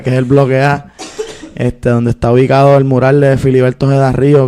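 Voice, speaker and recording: A Puerto Rican man in his thirties, a guest recounting his life at length in an earnest, unhurried way with many fillers. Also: mid-range voice, mellow. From Puerto Rico. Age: 30s. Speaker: guest, activist and filmmaker. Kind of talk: interview storytelling.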